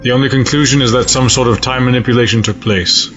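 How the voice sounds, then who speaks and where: A man playing a cold, resolute alien space-fleet admiral in a sci-fi audio drama, speaking in formal, measured, stiff diction. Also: medium pitch, fairly even intonation, highs cut off, over music, close to an everyday voice. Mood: grave, resolute.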